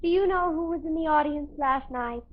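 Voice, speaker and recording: An actress playing a dreamy, melodramatic American teenage daughter with a theatrical, wistful manner in a 1950s radio sitcom. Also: female voice, sits high, a little fast, lightly acted. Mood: theatrical longing. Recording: dull old radio recording.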